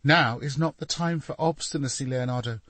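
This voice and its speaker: A man's voice: medium pitch, brisk, fairly bright tone, no room sound, pitched above the performer's own voice, lightly acted. A man voicing a distraught, imploring noblewoman, a duke's mistress, in an audiobook story. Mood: haughty scolding. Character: distraught pleading mistress of a duke, woman.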